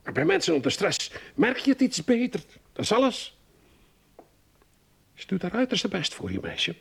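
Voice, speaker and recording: A middle-aged Flemish father in a radio play. His voice is gravelly and fairly high for a man, swinging widely in pitch. He speaks defensively and haltingly, shielding his wife. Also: very animated, full character voice. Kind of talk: radio drama acting.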